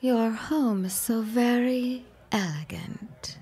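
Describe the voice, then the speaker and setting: An actress playing a cool, defiant secretary in a period audio drama, guarded and pointed in manner. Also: female voice, on the high side, takes it slowly, warm tone, husky, some chatter behind. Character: cool, defiant young secretary as party guest.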